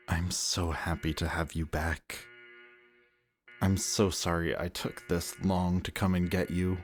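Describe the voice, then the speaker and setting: A man acting a possessive, anxious antihero villain in an emotional audio roleplay, halting and intense as he mutters. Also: low voice. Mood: relieved, frantic concern. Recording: music behind the voice.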